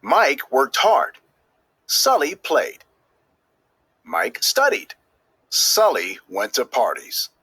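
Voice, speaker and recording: A man reading a children's story aloud as scripted narration, with expressive, emphatic delivery that draws out key words. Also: medium pitch, extremely slow, long pauses, slightly rough, no echo. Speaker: storybook reader.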